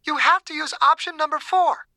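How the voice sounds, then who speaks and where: A woman playing an urgent, desperate scientist in an audiobook drama, blunt and forceful in her delivery. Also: high-pitched, fairly even intonation, moderate pace, no room sound, studio quality. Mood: desperate, resolute.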